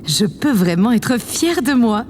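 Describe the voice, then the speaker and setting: An actress playing a vain, condescending woman president in a French audio drama, measured and haughty. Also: on the high side, sing-song, a little mellow, slight background noise. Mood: smug.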